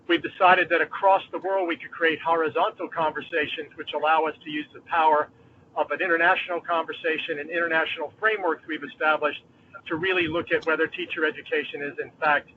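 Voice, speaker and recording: A man with an East Coast US accent, a dean of education, giving fluent, measured, opinionated remarks on a conference call. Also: on the high side, fairly even intonation. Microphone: phone or conference line. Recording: tinny phone line with faint noise.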